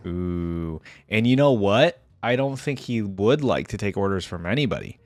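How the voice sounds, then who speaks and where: A man's voice: on the low side, expressive, normal speed, slight background noise. A man hosting a casual reaction video about game voice lines, chatting in a laid-back, conversational, amused way.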